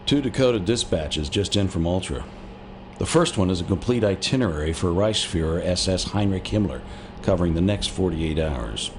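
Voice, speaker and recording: A low-voiced, earnest intelligence officer, formal and precise in a serious video game briefing. Clear noise sits behind the voice. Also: male voice. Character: earnest, dutiful male military intelligence aide. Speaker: intelligence aide.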